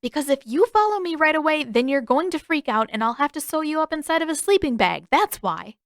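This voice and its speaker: A woman voicing a bossy, sharp-tongued teenage girl in an audiobook, firm and sarcastic. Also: high-pitched, expressive, fairly quick, no echo, clean studio sound, pitched above the performer's own voice.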